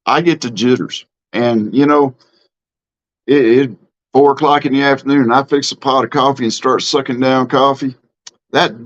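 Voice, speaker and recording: A middle-aged man from Mississippi talks in a Southern accent, easy and plainspoken, as he reads out comments on his live stream. He drawls and fills his pauses with ums and false starts. His voice sits at an ordinary pitch, and the stream audio is dull and lo-fi. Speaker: livestream host. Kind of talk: casual live-stream chat reading comments.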